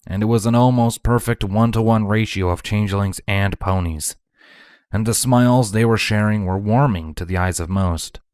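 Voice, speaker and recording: A man's voice: lowish voice, normal speed, darkish tone, clean tone, dry recording, clean studio sound. A man reading scripted fantasy fiction aloud as a storybook narrator. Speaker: narrator, fan fiction reader.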